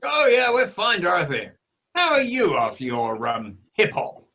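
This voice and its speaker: A man playing an affable, evasive luvvie theatre director in an amateur radio play, husky and apologetically halting. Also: high-pitched, huge pitch swings, bright, forceful tone, heavily acted. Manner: stammering, apologetic, luvvie endearments.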